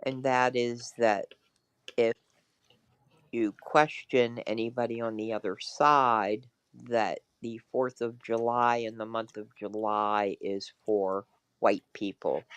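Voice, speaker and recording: A woman hosting a casual political discussion, speaking in a halting, deliberate way. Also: rumbling low, level tone, clean tone, no echo. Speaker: talk show host.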